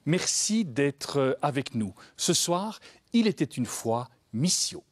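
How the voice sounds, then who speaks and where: A man's voice: a little high, big pitch swings, slow, slight background noise. A man hosting a TV magazine, asking his guests questions in a formal way. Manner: formal, inquiring. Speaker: TV magazine host. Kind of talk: TV interview hosting.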